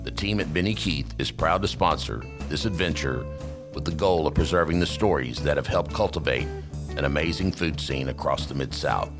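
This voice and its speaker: A man's voice: a bass voice, normal speed. A man reading a scripted sponsor introduction as a podcast announcer. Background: guitar music.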